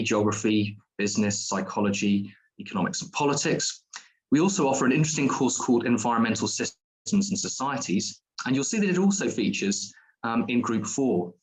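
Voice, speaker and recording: A man's voice: medium pitch, poor audio. A man presenting a school's diploma courses at an online open day, explaining at length with frequent ums and restarts.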